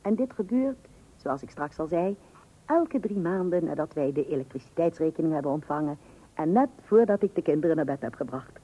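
An actress playing a long-suffering, dryly ironic wife in an old radio comedy, with a Netherlands Dutch accent. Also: female voice, sits low, animated, brisk, warm tone, husky. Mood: dry, amused. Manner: dryly ironic, mock-patient. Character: wry, long-suffering wife humouring her pompous husband. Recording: muffled old radio recording.

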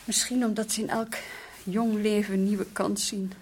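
A woman's voice: medium pitch, level tone, moderate pace, darkish tone, slight background noise, natural voice. Woman acting proud, restless lady in radio drama. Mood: wistful, troubled. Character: proud, unhappy Dutch colonial lady, restless and commanding.

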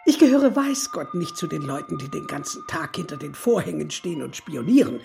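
An actress playing a self-righteous, gossipy neighbour in a radio drama, chatty and emphatically self-justifying. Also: female voice, low voice, very animated, a little fast, vocal fry, darkish tone, soft background music, exaggerated voice. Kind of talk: German radio drama acting.

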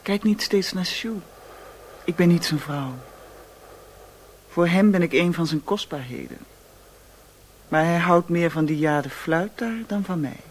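An actress playing a world-weary, seductive mistress of an opium house in a radio play, languid and teasing. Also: female voice, on the low side, measured pace, pauses often, slight background noise, natural voice. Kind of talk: radio drama acting.

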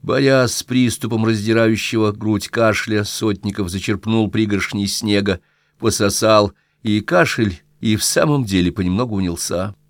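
A man reads a literary audiobook in a fairly low voice. The recording is dry, with very little room sound. He delivers scripted prose as a narrator telling a story. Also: moderate pace, no echo.

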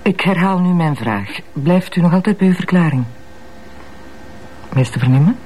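A woman's voice: on the low side, expressive, normal speed, dark tone, a little hiss or hum, close to an everyday voice, poor audio. A woman with a Flemish accent playing a strict, formal young deputy prosecutor in a crime drama, speaking with clipped authority. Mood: stern, formal. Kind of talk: acted drama dialogue.

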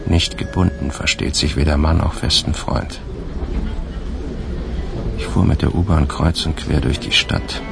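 Very deep, gravelly hunted policeman. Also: background noise, full character voice. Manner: brooding, confiding, clipped phrases. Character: weary hunted male police officer narrating his diary. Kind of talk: radio drama first-person narration. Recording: loud music under the voice.

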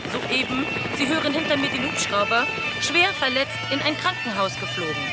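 An actress playing an earnest live TV reporter in a radio drama, speaking formally and urgently from outdoors with a helicopter behind her. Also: sits high.

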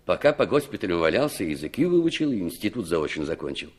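A middle-aged man, a former war commander, in a Soviet radio play of the sixties. His low, husky voice moves slowly and reflectively. The pitch rises and falls widely as he relives his memories. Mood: matter-of-fact, reflective. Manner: unhurried, expressive storytelling with pondering pauses. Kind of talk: 1960s Soviet radio drama acting.

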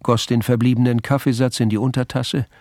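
An actor serving as the narrator of a mystery radio play, reading scripted literary narration. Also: male voice, medium pitch, flat delivery, a little fast, a little mellow, no echo, clean studio sound, natural voice. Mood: narrating.

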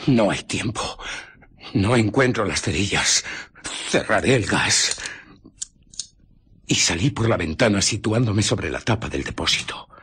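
An actor playing an embittered, furtive invisible scientist who recounts his escape in a theatrical, often hushed radio drama style. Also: low voice, husky, full character voice. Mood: agitated contempt.

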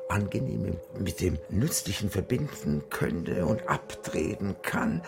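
Creaky, frail old widower. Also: male voice, low voice, expressive, over loud music, full character voice. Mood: dreamy, lustful. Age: older. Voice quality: gravelly, creaky. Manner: halting, stammering, wordy. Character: frail, elderly, ailing widower, eccentric, pleading, obsequious. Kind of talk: radio play acting in German.

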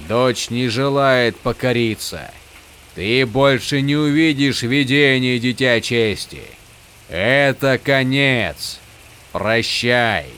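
A man's voice: medium pitch, a bit bright, a little hiss or hum. A man voicing an ancient, imperious storm god in a fantasy audiobook, making solemn, fateful pronouncements. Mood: ominous, final.

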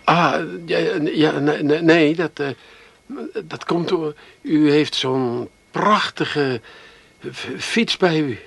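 An actor playing a bashful, smitten bicycle attendant in a comic radio play, stammering and trailing off. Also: male voice, on the high side, slow pace, gravelly, a bit bright, no room sound, full character voice. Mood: smitten, flustered.

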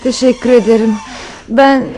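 A woman's voice: on the high side, fairly even intonation, normal speed, mellow, natural voice. An actress playing an elegant young rich woman in a radio drama, dazed and faltering yet insistent. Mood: weak, polite. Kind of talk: Turkish radio drama acting. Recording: noisy old radio drama recording.

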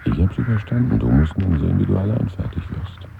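An actor in a German radio play voicing a bitter, weary, anxious dead man at his own funeral, gravelly and brooding. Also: low-pitched, big pitch swings, fairly quick, full character voice. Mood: apologetic, resigned. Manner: brooding monologue to absent listeners. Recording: muffled phone-like sound, strong background noise.

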